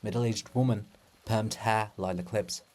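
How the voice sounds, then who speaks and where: A person giving a dramatic reading of a play in a theatrical, deliberate manner, phrase by phrase. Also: medium pitch, fairly even intonation, a little mellow. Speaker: reader of a play.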